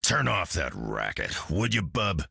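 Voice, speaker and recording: An actor playing a gruff, weary old mutant brawler in a video game, with a gravelly growl and grumbling, folksy slang. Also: male voice, sits low, very animated, a little slow, bright, forceful tone, no echo, clean studio sound, full character voice. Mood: irritated. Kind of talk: video game character lines.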